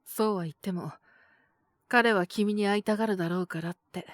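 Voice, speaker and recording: Woman doing a calm older-brother type guy, gentle n measured, bit teasing, audio drama, super dry recording. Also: mid-range voice, no echo, natural voice. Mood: gentle, explaining. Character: calm, protective adult male bar owner mentoring a youth. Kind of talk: Japanese situational audio drama acting.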